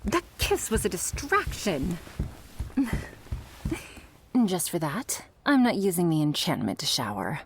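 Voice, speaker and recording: A woman's voice: on the low side, huge pitch swings, a little slow, pauses often, slight background noise, full character voice. A woman playing a tough, sassy shark-girl girlfriend in an intimate ASMR roleplay, blunt and sardonic. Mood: playful, teasing.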